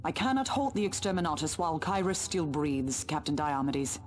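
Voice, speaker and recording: A stern female inquisitor from a video game, speaks formally. Her voice sits a little low, over faint noise. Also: sing-song, moderate pace. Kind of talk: video game character acting.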